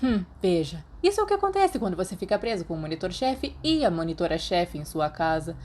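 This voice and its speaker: A woman's voice: on the low side, big pitch swings, a little fast, a little mellow. A woman with a Brazilian Portuguese accent voicing a playful, dramatic teenage boy with a whiny edge. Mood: sulky. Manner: whiny, theatrical.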